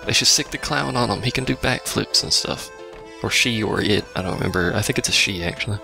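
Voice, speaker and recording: Man, low voice, vocal fry, deadpan sarcasm, casual gameplay commentary, music in background. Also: fairly quick. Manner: dry, sarcastic. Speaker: let's play commentator.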